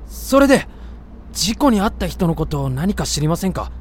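A woman's voice: lowish voice, sing-song, normal speed, slight background noise. A woman playing a blunt, grumpy but kind-hearted young man in an amateur voice drama. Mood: polite, inquiring. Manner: rough, blunt masculine speech, exasperated retorts. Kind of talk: Japanese amateur voice drama acting.

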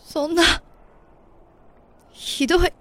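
A woman acting a troubled, polite, soft-hearted young girl in a Japanese audio drama. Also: very high-pitched, fairly even intonation, takes it slowly, dry recording. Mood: shaken, regretful. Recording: faint background noise.

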